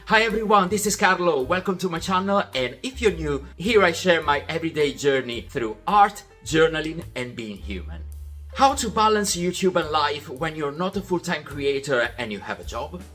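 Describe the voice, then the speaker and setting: Man, young adult, animated, friendly and methodical, scripted vlog voiceover. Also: a little high, normal speed, soft background music. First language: Italian. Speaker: vlog host.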